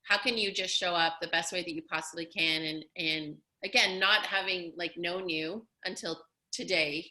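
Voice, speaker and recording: A chatty woman hosting an interview, effusive and full of "you know" and "like". Her voice is bright and forceful but pitched on the low side for a woman, and she stays loose and conversational throughout. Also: steady tone, moderate pace, rough recording. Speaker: host and coach.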